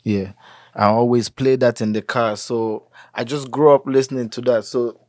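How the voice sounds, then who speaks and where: A man's voice: medium pitch, normal speed, no room sound, clean studio sound. A young Nigerian man with a Nigerian accent, a musician chatting casually as an interview guest and slipping into Pidgin. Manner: relaxed, drops into Pidgin, many "you know"s.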